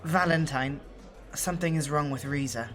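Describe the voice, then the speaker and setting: A resolute exiled shifter, voiced by a woman, who speaks in measured, formal sentences. Her voice sits fairly low. Music runs behind her in this audio drama. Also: a bit bright. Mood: calm. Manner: formal and measured, avoids contractions.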